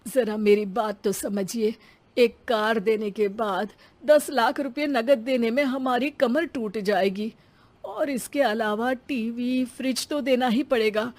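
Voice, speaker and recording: A woman's voice: fairly high pitch, takes it slowly, darkish tone, no room sound. A woman voicing a humble, anxious father of a bride in a cartoon story, speaking with pleading deference.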